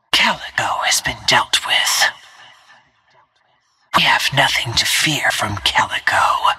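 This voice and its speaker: Breathy, whispering cold female assassin. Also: very deep voice, sharp tone, full character voice. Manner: slow, clipped, menacing and self-assured. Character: cold, ruthless female assassin agent in a video game. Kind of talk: video game character voice lines.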